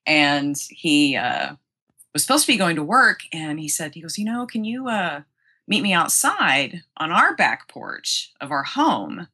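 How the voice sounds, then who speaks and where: A woman tells a story in a casual, conversational way. Her voice sits quite low for a woman, yet it has a bright, forward edge. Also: very animated, unhurried, slightly rough. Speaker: interview guest.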